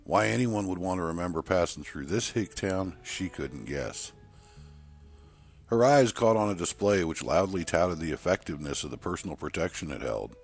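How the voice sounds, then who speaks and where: Deep-voiced man narrating a story over music. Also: animated, normal speed, breathy edge. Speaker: storyteller narrating horror fiction. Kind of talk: dramatic scripted story narration.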